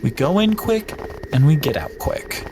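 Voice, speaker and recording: A man's voice: very animated, unhurried, background noise. A man playing a cocky young sneak with a jumpy voice. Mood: brash. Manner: brash, dismissive, jumpy pitch. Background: music.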